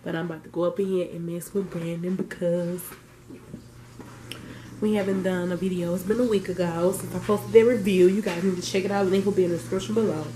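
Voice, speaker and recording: A woman's voice: fairly even intonation, fairly quick, slight background noise, poor audio. Stuffy-nosed young woman vlogging, teasing. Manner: playful, teasing, swings between low mumbles and loud high greetings. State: stuffy nose from a cold. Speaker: vlogger host. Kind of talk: casual mukbang vlog chat. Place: small home kitchen and dining table indoors. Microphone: handheld phone camera then fixed camera at table.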